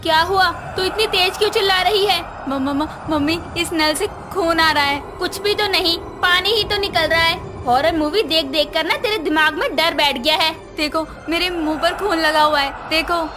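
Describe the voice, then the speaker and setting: A woman's voice: extremely high pitch, normal speed, few pauses, voice pushed up. A woman voicing a worried mother and her frightened daughter in horror story dialogue, with music behind. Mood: alarmed, scolding.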